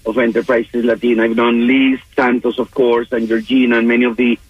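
A man phones in to a radio show. He speaks in a husky, dry voice over a dull, muffled line, stumbling and restarting often as he gets his words out. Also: medium pitch, monotone, dry recording. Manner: halting, many restarts and repeated words. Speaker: caller. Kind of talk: call-in comment.

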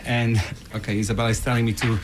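A man's voice: medium pitch, little pitch movement, over music. A middle-aged man from Poland talks as a radio guest in a Polish accent. He speaks earnestly and with gratitude, and stops often on fillers as he tells his story. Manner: earnest, grateful, halting with fillers. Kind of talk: casual interview talk.

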